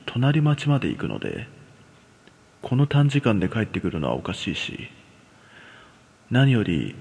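A man narrates a scripted ghost story with dramatic delivery. His voice is husky and gravelly, moving widely in pitch. Long reverb hangs over the recording.

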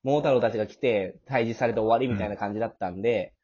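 A man's voice: lowish voice, quick pace, darkish tone, slightly rough. A man hosting a casual backstage interview chat in a joking, teasing manner. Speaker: host and creator of a radio drama, interviewing cast. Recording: muffled, lo-fi audio.

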